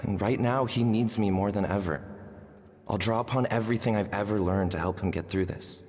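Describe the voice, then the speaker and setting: A man's voice: lowish voice, a little fast, over music. A man playing a devoted, earnest carer of an animal companion in a video game, heartfelt in delivery, with an echoing flashback effect. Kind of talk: video game character acting. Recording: long reverberant echo effect.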